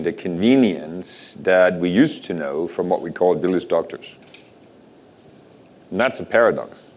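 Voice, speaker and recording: A middle-aged man from Denmark giving a conference keynote, asking the audience rhetorical questions in a conversational way. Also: low voice. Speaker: conference speaker. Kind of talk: keynote talk.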